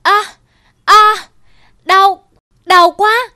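Woman as a very high-pitched cartoon crow. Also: fairly even intonation, very slow, noisy background, full character voice. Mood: pained wailing. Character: greedy, distrustful crow, whining in pain. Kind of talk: cartoon fable voice acting.

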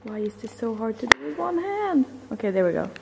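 A woman's voice: on the high side, big pitch swings, a little fast, vocal fry, very noisy. A young woman with a Swedish accent chatting casually to her handheld camera as a vlogger. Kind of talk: casual vlog chat.

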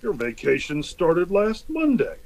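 A man's voice: fairly high pitch, huge pitch swings, unhurried, gravelly, a little mellow, full character voice. A man playing a grouchy, pompous middle-aged school principal in old-time radio comedy style, grumbling and formal. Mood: stern, blustering.

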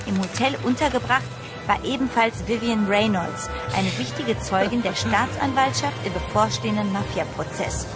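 A woman's voice: mid-range voice, normal speed, few pauses, over loud music, close to an everyday voice. An actress playing a young woman caught up in a horror story, narrating and speaking in a German audio drama style. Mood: serious reading. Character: young woman narrating her horror adventure, stunned, curious.

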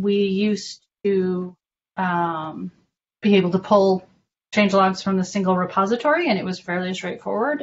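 A woman explaining things informally in an online meeting, measured and a little hesitant as she goes. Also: mid-range voice. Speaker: documentation working group member presenting. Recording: dull lo-fi online call with faint noise.

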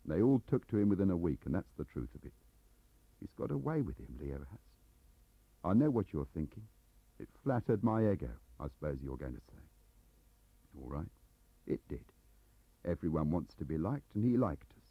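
Man playing a husky, aggrieved English clerk. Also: low voice, big pitch swings, normal speed, pauses often, no echo, heavily acted. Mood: wistful, confessional. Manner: rambling, confiding, defensive. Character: aggrieved, lonely middle-aged male embassy registry clerk. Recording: dull lo-fi cassette transfer.

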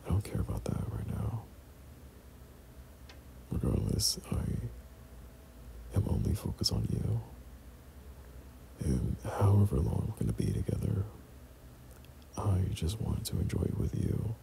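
A young man playing an affectionate boyfriend in intimate ASMR roleplay, breathy and half-whispering, speaking hesitantly. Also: fairly low pitch, big pitch swings, a little hiss or hum, exaggerated voice, rough recording. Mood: tender. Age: young adult. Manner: slow, hesitant, soft chuckles between phrases. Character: tender, affectionate young boyfriend talking to his partner. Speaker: audio roleplay performer.

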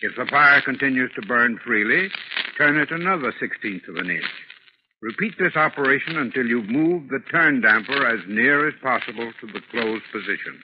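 A man's voice: mid-range voice, animated. Instructional man on noisy old radio. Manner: measured, instructional. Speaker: heating expert in radio advertisement. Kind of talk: scripted 1930s radio advice. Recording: muffled, noisy, clicking 1930s radio recording.

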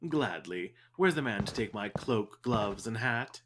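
A man's voice: fairly low pitch, animated, measured pace, noisy background. An actor playing a pompous, florid and dreamy male photographer sidekick in a radio detective drama. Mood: grandiose.

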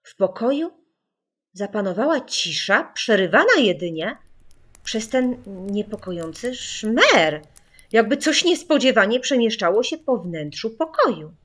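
A woman narrating a children's audiobook in a theatrical, suspenseful way with deliberate pauses. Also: medium pitch, big pitch swings, fairly bright tone. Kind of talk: dramatic scripted children's story narration.

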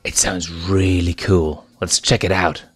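A mischievous boy lion cub, cocky and eager for trouble, as a man voices him in a storybook reading. The voice stays low and plain. It is recorded close and dry. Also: flat delivery, unhurried, breathy edge, no room sound. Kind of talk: fanfiction audiobook reading.

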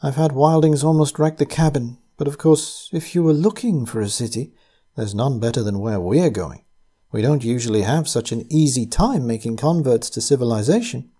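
A man reading aloud, voicing a soft-spoken, teasing young woman who pilots a flying ship in a storybook. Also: fairly high pitch, very animated, moderate pace. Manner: soft, lilting, playfully condescending.

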